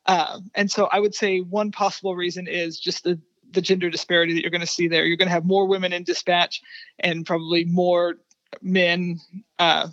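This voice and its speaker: A woman's voice: lowish voice, flat delivery, normal speed, fairly bright tone, no room sound. Woman researcher in dull, dry audio. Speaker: researcher guest. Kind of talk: interview discussion of research findings.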